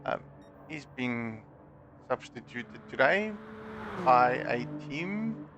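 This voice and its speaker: A man's voice: mid-range voice, big pitch swings, slow, highs cut off, background noise. A middle-aged man with a Dutch accent hosting a live sim racing stream, talking in a loose, self-deprecating way with plenty of fillers through a headset. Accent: Dutch-accented English. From South Africa. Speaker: stream host and league admin. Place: home room at a desk. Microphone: gaming headset.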